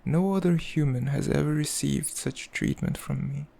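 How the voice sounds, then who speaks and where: A man playing a cruel, possessive demon king in an ASMR roleplay, speaking in a haughty, mocking, measured way with a gravelly voice. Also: a little high, dark tone. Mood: possessive amusement. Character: cruel, possessive male demon king, coldly amused, condescending. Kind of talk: ASMR roleplay, slow intimate villain monologue.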